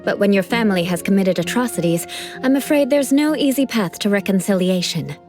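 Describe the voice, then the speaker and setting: A proud young noble knight, voiced by a woman, from a fantasy game. She speaks in formal, aristocratic phrasing at a mid-range pitch. Music plays behind her. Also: animated, brisk, darkish tone, lightly acted. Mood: composed.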